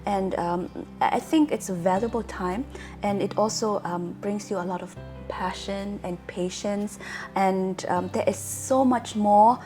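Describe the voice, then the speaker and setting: A woman from Germany talks casually as she explains things to a companion. Her pitch is medium and her delivery is halting, with pauses to search for words. Soft music plays behind her. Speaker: wine-savvy guest explaining to host.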